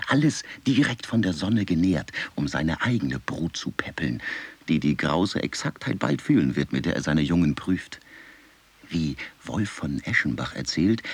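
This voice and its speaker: A brooding burrow-dwelling beast, with a deep, very raspy voice that swings widely in pitch. It speaks in eloquent, deliberate phrases, like a calculating predator musing in a radio play. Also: male voice, heavily acted. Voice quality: husky, raspy. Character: earth-dwelling predatory animal, calculating, admiring the noble eagle. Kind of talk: literary radio drama monologue.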